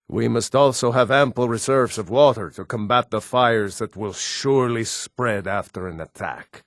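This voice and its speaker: An actor playing a proud, stern Nord man in a fantasy video game, with a measured, weighty delivery. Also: lowish voice, dry recording, clean studio sound. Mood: resolute.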